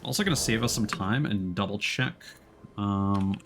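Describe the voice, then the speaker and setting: A man giving casual live commentary as he streams a video game, often pausing to think aloud. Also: fairly low pitch, a bit bright, slight background noise.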